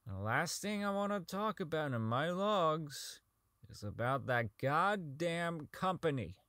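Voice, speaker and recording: A man's voice: on the high side, expressive, takes it slowly, pushed and bright, no echo, clean studio sound, exaggerated voice. A man playing a socially inept, bitter company owner in an audio drama, rambling and stammering through his log as he slides toward madness. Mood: bitter, weary. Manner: stammering, rambling, self-loathing, foul-mouthed.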